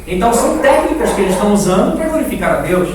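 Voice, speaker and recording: The sound is very echoey and distant, with faint noise. A high-voiced Brazilian man teaches a church class in an informal, didactic way. He repeats words for emphasis and keeps turning to his listeners with questions. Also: very animated, racing, a crowd in the background. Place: echoing indoor room, live class.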